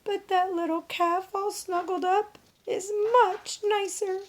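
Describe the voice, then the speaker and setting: Woman voicing a high, emotional storybook mother hen. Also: level tone, slow. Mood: wistful, sad. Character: tearful, fretful mother hen who ends up proud.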